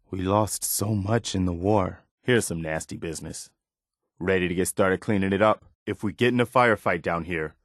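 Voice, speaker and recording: Male actor as dutiful post-apocalyptic militiaman, game dialogue, fairly deep voice, reassuring, plain-spoken, dry studio sound. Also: no room sound, close to an everyday voice. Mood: curious, reflective, then resolute, reassuring. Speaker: video game companion character. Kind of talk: scripted game dialogue lines.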